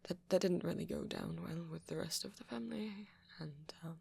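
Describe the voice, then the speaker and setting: A man playing a shy, apologetic young vampire in an ASMR roleplay, with a posh British accent and a hesitant, stammering, soft-spoken way of talking. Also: fairly high pitch, normal speed, vocal fry, husky, exaggerated voice. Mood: uneasy, reticent. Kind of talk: intimate ASMR roleplay audio.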